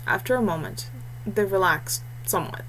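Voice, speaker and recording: A woman's voice: mid-range voice, moderate pace, rough recording. A woman reading a scripted fantasy story as an audiobook narrator. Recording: clear steady background noise and hum.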